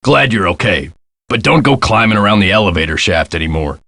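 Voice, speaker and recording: A man playing a gruff, world-weary middle-aged American caretaker in a video game, guarded and folksy in a clipped way. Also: on the low side, animated, brisk, sharp tone, slight background noise, exaggerated voice. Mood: helpful, gruff. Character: gruff world-weary male castle caretaker, guarded about his past.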